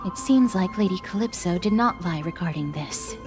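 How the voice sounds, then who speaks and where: A woman's voice: on the low side, lively intonation, loud music behind the voice, close to an everyday voice. A woman voicing a composed, courteous, serious young noblewoman warrior in an anime-style game, speaking formally. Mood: calm, pensive. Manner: formal, measured.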